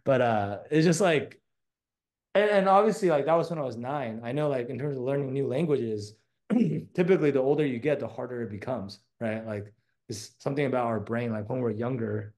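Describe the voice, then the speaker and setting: A man who moved to the States from Taiwan as a child, hosting an interview in a relaxed, casual way with many fillers. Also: medium pitch. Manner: casual, friendly, lots of fillers like "um" and "like". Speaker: host.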